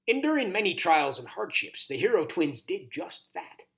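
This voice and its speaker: Give the storybook a kindly, ceremonious horned toad, acted out by a man. The voice is grumbly and croaking, with courtly old-fashioned phrasing. Its pitch rises and falls in a very animated way. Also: moderate pace, exaggerated voice. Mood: solemn storytelling.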